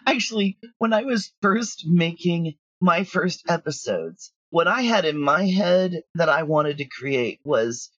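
Dull lo-fi recording, husky middle-aged woman. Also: on the low side, lively intonation, measured pace, hardly any pauses, dry recording. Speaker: guest, audio drama writer and producer. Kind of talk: casual interview chat. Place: at home, remote interview. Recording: dull, lo-fi remote connection.

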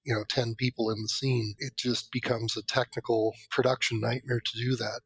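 A man's voice: medium pitch, little pitch movement, normal speed, a bit bright, breathy edge, dry recording. A man speaking as a drama producer, answering conversationally in an interview. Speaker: drama producer and head of writers.

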